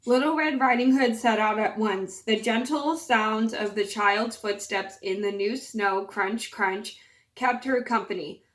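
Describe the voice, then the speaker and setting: A woman's voice: a little high, a little slow. A woman with a scratchy voice hosting her channel and reading a fairytale aloud, with chatty, apologetic asides. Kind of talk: storybook read-aloud.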